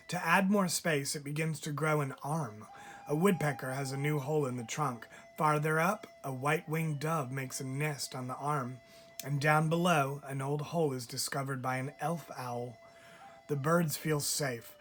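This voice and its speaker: A man reads a children's picture book aloud in a measured, expressive way, pausing deliberately like a teacher telling a story to a class. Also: fairly high pitch.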